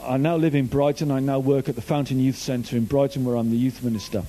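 A man's voice: medium pitch, steady tone, fast talker, mellow. A man from Wales, a youth minister giving a casual, joking sermon full of stories. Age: older. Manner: chatty, jokey storytelling. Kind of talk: informal sermon.

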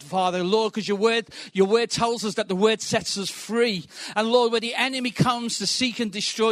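Middle-aged Liverpool man, high voice, dry close sound, quick joking guest preacher. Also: fairly bright tone, no room sound. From England. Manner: quick, chatty, joking, punctuates with "right". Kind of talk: sermon.

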